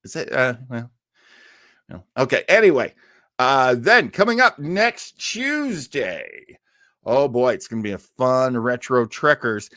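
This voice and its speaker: A man's voice: medium pitch, very animated, measured pace, a bit bright, dry recording, clean studio sound. A man hosting a live web show, chatting casually to his viewers in an enthusiastic, rambling way with playful asides. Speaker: host of a live web show on old-time radio. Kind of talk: casual livestream chat to viewers.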